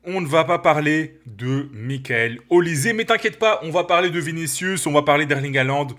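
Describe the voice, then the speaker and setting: A man's voice: fairly high pitch, moderate pace. Man with a cold, opinionated football chat. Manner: animated, opinionated, addresses viewers directly. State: has a cold. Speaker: football channel host. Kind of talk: casual opinion commentary to camera. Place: his living room.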